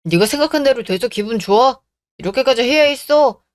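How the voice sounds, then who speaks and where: A woman's voice: on the high side, animated, brisk, dry recording, lightly acted. A woman voicing a whiny, self-pitying young man in a radio drama, pleading and blaming. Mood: resentful.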